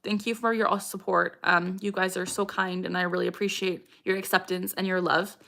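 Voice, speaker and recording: A woman's voice: lowish voice, flat delivery, brisk, vocal fry, bright, forceful tone. A young woman in her twenties hosting a casual livestream Q&A. She reads viewer questions aloud and greets people by name, with frequent vocal tics and bursts of laughter. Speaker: livestream host.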